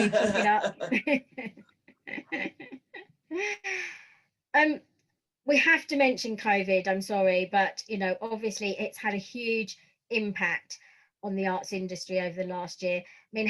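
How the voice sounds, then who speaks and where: A woman's voice: medium pitch, a little slow, fairly bright tone, rough recording. A British woman interviewing a guest for a podcast in a conversational style.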